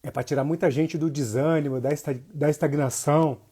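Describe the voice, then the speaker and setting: A man's voice: fairly high pitch, fairly even intonation, a little fast, dark tone, slightly rough. A man with a northeastern Brazilian accent teaching casually as a livestream instructor, informal and slangy, often repeating phrases for emphasis. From Jacobina, Bahia, Brazil. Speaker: capoeira teacher. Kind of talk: casual livestream lesson, greeting viewers.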